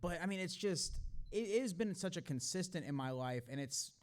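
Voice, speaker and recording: Thirties guy from tennessee, clean smooth voice, playful casual stage chat. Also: sits high, lively intonation, fairly quick. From Tennessee, United States. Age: 30s. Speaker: guest, actor and podcaster.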